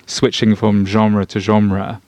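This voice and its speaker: A man hosts a casual studio conversation. His voice sits fairly low and sounds dry, with no room echo. He talks haltingly and encouragingly, often restarting his sentences. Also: monotone, a little mellow. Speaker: host and collaborator.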